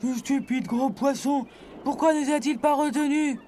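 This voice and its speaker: A man voicing an excitable, boastful animal sidekick in a French cartoon dub. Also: extremely high pitch, normal speed, a little hiss or hum. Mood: indignant, boastful.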